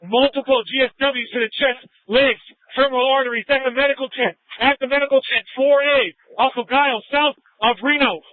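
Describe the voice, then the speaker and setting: A man speaks as a police officer in urgent emergency radio traffic. His voice is high for a man and comes through a thin, narrowband radio channel. The delivery is clipped, and the sound is dry. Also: fairly even intonation, brisk, few pauses, no echo.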